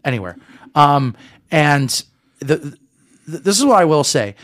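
A man's voice: a little high, sing-song, unhurried, fairly bright tone. A man co-hosting a podcast, chatting casually in an emphatic, opinionated way and repeating himself for stress. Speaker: podcast co-host. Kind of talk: casual chat.

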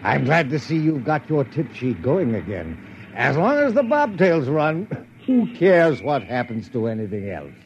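An actor playing a genial, avuncular doctor in a 1950s radio drama, with a hearty and polite manner. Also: male voice, mid-range voice, wildly swooping pitch, a little slow. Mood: jovial. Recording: muffled old radio recording with background noise.

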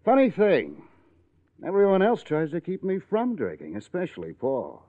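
Casting: wry, boozy American columnist, swooping mid-range voice, old radio drama through a tinny narrow band. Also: male voice, high-pitched, wildly swooping pitch, moderate pace, exaggerated voice. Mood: needling, bitter. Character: cynical hard-drinking male newspaper columnist, wry and needling.

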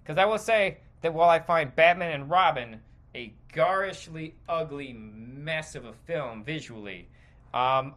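Male podcast host, forceful bright tone, very lively pitch swings, digressive and opinionated. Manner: rambling, digressive, emphatic. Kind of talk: opinionated stream-of-consciousness commentary.

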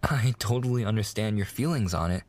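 Casting: male actor as smitten young mobster boyfriend for ASMR roleplay. Low voice, slangy, earnest, protective, haltingly sweet. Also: a little mellow, natural voice. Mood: earnest, affectionate. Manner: slangy wiseguy talk, hesitant, earnest, reassuring. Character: smitten young mafia man, earnest, protective, gently courting his fiancée.

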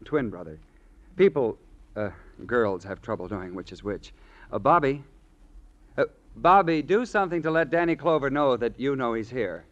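A man's voice: medium pitch, animated, normal speed, fairly bright tone, close to an everyday voice. An actor playing an eccentric, coy and catty man with a mannered, teasing delivery, in old-time radio drama style. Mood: coy, halting. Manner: mannered, hesitant, teasing digressions. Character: affected, eccentric twin brother, coy and catty. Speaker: actor in a radio detective series. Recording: muffled vintage radio recording, music behind.

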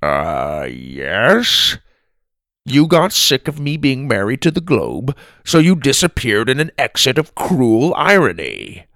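A man's voice: mid-range voice, wildly swooping pitch, takes it slowly, a bit bright, no echo, studio quality, pitched above the performer's own voice, exaggerated voice. A man voicing a huffy, defensive old grandfather who grumbles and gets flustered in a storybook. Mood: sullen. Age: older.

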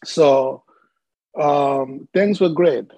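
A man from the Democratic Republic of Congo, a podcast guest telling his life story in a halting way, with many filler sounds. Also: a little high, warm tone, dry recording, poor audio.

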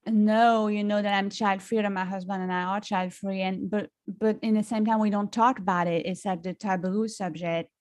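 A woman's voice: fairly high pitch, dry recording, clean studio sound. A middle-aged woman with a French accent, chatting as a songwriter guest in an interview, with many fillers and restarts. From France. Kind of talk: casual interview chat.

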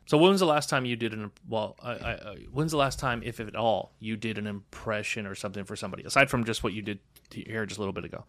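Male podcast co-host, bright forceful tone, frank chatty delivery, dry sound. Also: fairly low pitch, quick pace, no room sound. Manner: chatty, frank, stumbling restarts. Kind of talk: casual chat.

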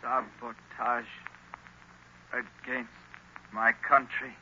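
An actor playing a tormented young male army lieutenant under a spell in a 1930s radio drama, halting, strained and pleading. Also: mid-range voice, level tone, pushed and bright, exaggerated voice. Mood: dazed, broken. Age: young adult. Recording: very noisy dull 1930s radio recording.

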